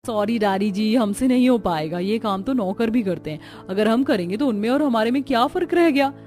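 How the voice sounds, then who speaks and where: Actress as cartoon modern young wife: spoiled, defiant, higher-pitched, faint music behind. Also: female voice, expressive, fairly quick, darkish tone, lightly acted. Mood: brazen, dismissive. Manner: brash, dismissive. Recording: music under the voice.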